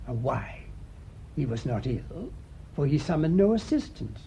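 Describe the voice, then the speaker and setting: A British man acting a thoughtful, shrewd priest sleuth in a radio mystery, speaking in a measured, deliberate way. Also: very animated, vocal fry, warm tone. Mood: shrewd, assured. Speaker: actor in the lead detective role. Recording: muffled radio recording with faint rumbling noise.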